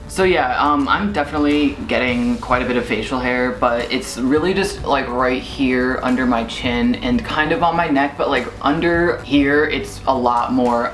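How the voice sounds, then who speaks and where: A man's voice: medium pitch, fairly bright tone. A young man talks through a casual video diary in a loose, rambling way, full of filler words. His voice carries a noticeable room echo from the camera mic. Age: young adult. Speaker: vlogger.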